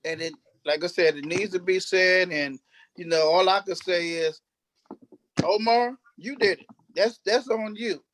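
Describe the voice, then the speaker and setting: A man's voice: high voice, fairly bright tone, low-quality recording. A man speaking off the cuff as a cast member in a panel discussion, emphatic, often repeating and restarting his phrases. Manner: emphatic, repetitive, halting restarts. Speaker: stage play cast member.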